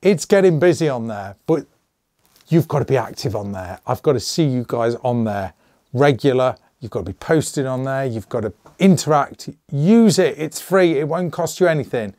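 A middle-aged man with a slightly hoarse voice, hosting a casual channel update and rambling with jokey asides. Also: mid-range voice, animated, normal speed, darkish tone, no room sound, studio quality. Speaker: channel host. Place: small indoor gun room.

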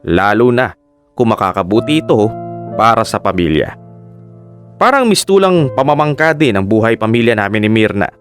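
A man's voice: fairly low pitch, very animated, normal speed. A Filipino man playing a fisherman husband, narrating his life story in an earnest, sentimental radio drama style. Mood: grateful, resolute. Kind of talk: scripted first-person radio drama narration. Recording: piano music bed behind the voice.

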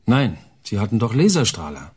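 A man playing a shaken young child's father in a radio play, giving short, plain statements in a husky voice. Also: fairly low pitch, sing-song, normal speed, darkish tone, heavily acted.

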